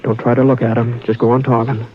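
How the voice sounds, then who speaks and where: A man playing a calm steady cowboy. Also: medium pitch, a little hiss or hum, close to an everyday voice. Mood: tense. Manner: calm, measured, reassuring. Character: calm steady cowboy, drawling frontier narrator. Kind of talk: 1950s radio drama acting. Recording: dull old radio transcription with music.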